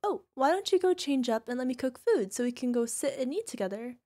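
A woman voicing a possessive young yandere wife who nurses her sick husband, sweetly coaxing with a quiet edge of menace. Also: high-pitched, animated, fairly quick, dry recording, clean studio sound. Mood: doting. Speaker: voice actress. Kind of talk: ASMR roleplay audio drama.

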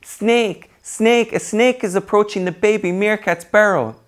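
Millie, a shrill young meerkat girl, voiced by a man. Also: measured pace. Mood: mischievous shouting. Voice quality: high shrill barking calls. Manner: frantic crying out, later sobbing. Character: young mischievous female meerkat, shrill lookout. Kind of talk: storybook reading.

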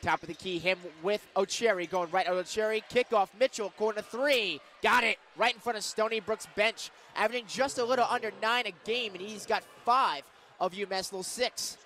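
This is a man with a very high-pitched voice calling basketball live on the radio. He is an excitable announcer who raises it to a shout for big plays. He is in an indoor arena. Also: brisk, slight background noise.

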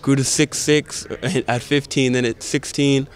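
A teenage basketball prospect from the Bahamas, answering interview questions in a calm, even way into a handheld mic. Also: male voice, mid-range voice, measured pace. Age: teens. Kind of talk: post-game press interview. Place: indoor camp media backdrop. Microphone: handheld reporter mic held close.

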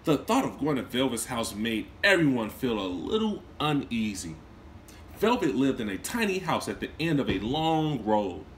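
A man's voice: moderate pace, a little hiss or hum. A man in his thirties, a kindergarten teacher, reading a picture book aloud to children in a small room, close to a phone camera. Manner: expressive storytelling with lively swings in pitch and volume; warm, encouraging talk to young children. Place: small indoor room, seated on a couch.

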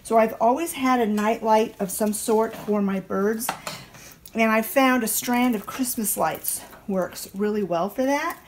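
A woman's voice: a little high, measured pace, a little hiss or hum. A middle-aged woman chatting casually as she tidies her country home, explaining things as she goes and often restarting her sentences. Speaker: home vlogger. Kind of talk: casual cleaning vlog narration. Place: country house, indoors, rooms with plants and a kitchen. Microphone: handheld phone camera.

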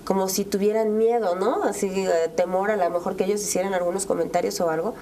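Mexican woman, thirties, interview guest. Mid-pitched, mellow, explanatory, conversational; slight background noise. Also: fairly even intonation, fast. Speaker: interview guest, relative of a victim. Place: dark TV studio, round table. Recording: clear background room noise.

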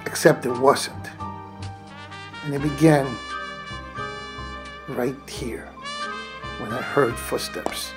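A brooding, world-weary gumshoe from a noir parody, acted out by a man. He narrates with weighty dramatic pauses over music. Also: mid-range voice. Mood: brooding, deadpan. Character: world-weary hard-boiled 1940s male private detective narrator. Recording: music behind.

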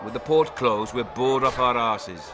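Man acting a rough tavern fighter amid crowd. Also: medium pitch, measured pace, lightly acted. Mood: rowdy, inviting. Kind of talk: video game character acting.

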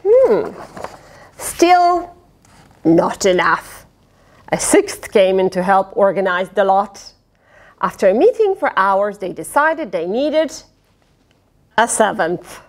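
A middle-aged woman reading a picture book aloud to children in an expressive, dramatic way, with playful asides. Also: medium pitch, slow, long pauses. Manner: expressive, dramatic, with gasps, sighs and questions to the listener. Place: indoors, small fabric tent with cushions. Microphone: lapel.

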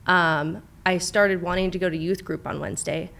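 Lower-voiced young woman telling her story. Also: fairly even intonation, moderate pace, vocal fry, a bit bright. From Southern California. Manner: open, chatty, many "um" and "like". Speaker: clinical director, therapist, guest. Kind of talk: casual interview, personal story. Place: studio with brick wall. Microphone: studio mic on boom arm, headphones.

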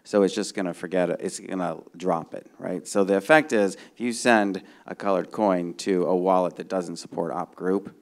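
A middle-aged man with a low voice and a noticeable fry. He explains technical points informally as a panelist, checking that listeners follow. He speaks into a handheld microphone in a conference room. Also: steady tone, moderate pace, hardly any pauses. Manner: explanatory, checks understanding with "right?". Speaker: conference panelist, software developer. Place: conference room stage before a seated audience.

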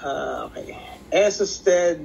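Man, language student, careful, hesitant, slow, video call, faint noise. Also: fairly high pitch, vocal fry, darkish tone, highs cut off. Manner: hesitant, careful, self-correcting. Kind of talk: practicing phrases in a class.

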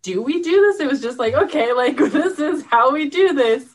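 A woman's voice: sits high, expressive, normal speed. A woman guest in a casual interview, reflecting in hesitant, carefully hedged phrases. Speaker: show co-creator, guest.

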